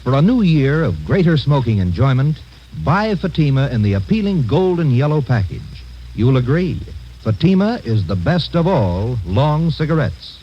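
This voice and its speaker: A man's voice: mid-range voice, sing-song, moderate pace. An American man reading a 1950 radio commercial as announcer, with a polished, emphatic sales delivery. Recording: muffled old radio recording, faint noise.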